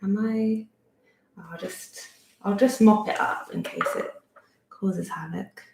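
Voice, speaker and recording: A woman's voice: mid-range voice, slow pace, creaky, echoey room, noisy background. A woman in her thirties with a Bristolian accent, chatting through a friendly step-by-step drawing lesson at her home desk, thinking aloud and poking fun at herself as she works. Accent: Bristolian English. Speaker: art teacher. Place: home studio desk, indoors.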